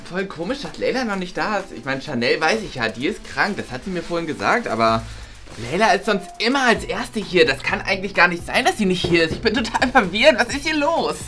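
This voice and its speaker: A woman's voice: on the low side, sing-song, fairly quick, fairly bright tone, faint music behind. Woman playing a prissy lecturing schoolgirl. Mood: confused. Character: prim goody-goody schoolgirl Bibo. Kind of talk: comedy web series acting.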